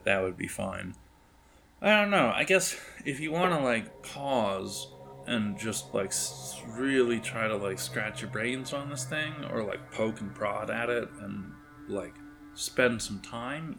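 A man's voice: lowish voice, vocal fry, a bit bright. A man narrating a tabletop game as game master in a casual, rambling, improvised way. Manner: rambling, improvising, hesitant phrasing.

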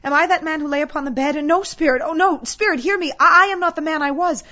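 A woman's voice: mid-range voice, fairly quick, dull audio, lightly acted. A woman voicing a frightened, repentant old man in a storybook, pleading in fervent exclamations. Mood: desperate, repentant.

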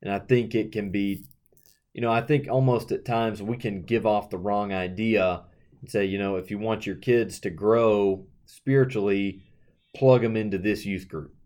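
A man with a fairly low voice discusses scripture in a relaxed podcast conversation. He is earnest and explanatory, drawing lessons for parents from the text and circling back to restate his points. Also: moderate pace. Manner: earnest, explanatory, with frequent "you know" and restarts. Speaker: youth minister, co-host of a Bible study podcast. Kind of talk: conversational Bible study discussion.

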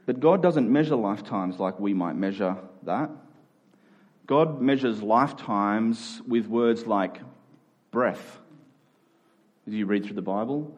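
A man's voice: on the low side, a little mellow. A man preaching a sermon, repeating key phrases for emphasis and checking in with his listeners.

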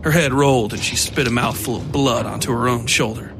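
Male storyteller for dark fiction podcast, mid-pitched, scripted delivery over a clear music bed. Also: steady tone, brisk. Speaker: narrator. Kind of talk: scripted horror fiction narration.

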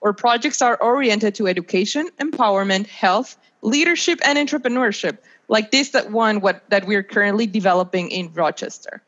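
A woman's voice: mid-range voice, expressive, moderate pace, hardly any pauses, a bit bright. A woman in her twenties from Mexico, a native Spanish speaker with a Mexican accent, giving prepared interview answers as a podcast guest. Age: 20s. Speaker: guest, nonprofit research associate. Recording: dull lo-fi video call.

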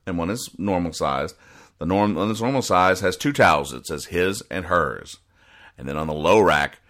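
A man hosting a comedy podcast, explaining things in a deadpan way with halting restarts and emphatic repetition. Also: low-pitched. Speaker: podcast host. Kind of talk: deadpan comedic explanation of newspaper comic strips.

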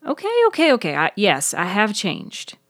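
A woman voicing a lively, teasing woman in an audio story, with a casual and animated manner. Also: mid-range voice, moderate pace, no room sound, studio quality, pitched above the performer's own voice. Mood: earnest.

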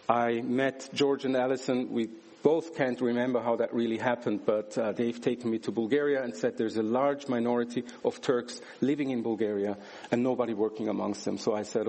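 A man's voice: mid-range voice, fairly even intonation, normal speed, a little mellow. Earnest man preaching in church. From Switzerland. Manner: earnest, emphatic, with rhetorical questions. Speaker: guest preacher. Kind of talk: sermon with personal testimony.

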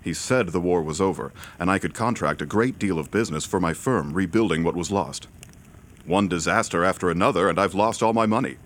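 Audiobook lead: an uneasy, low-voiced man out of luck, read by a male narrator. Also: a little fast, close to an everyday voice. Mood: weary. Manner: anxious, subdued. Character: nervous, down-on-his-luck male Imperial builder's agent.

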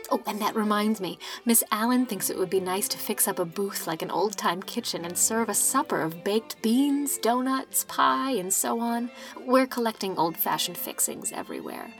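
A woman's voice: medium pitch, lightly acted. A woman voicing a gossipy, opinionated middle-aged village matron who chatters on.

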